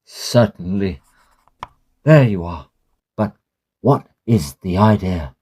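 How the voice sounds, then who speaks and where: A man playing a sceptical, concerned learned gentleman in a Victorian horror drama, with a measured, reasoning, formal manner. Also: low voice, expressive, breathy edge, exaggerated voice. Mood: puzzled, concerned.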